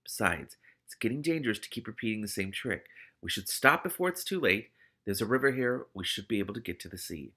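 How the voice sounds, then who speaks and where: A man's voice: lowish voice, animated, fairly quick, sharp tone, breathy edge, full character voice. Man as earnest, deferential wise rat.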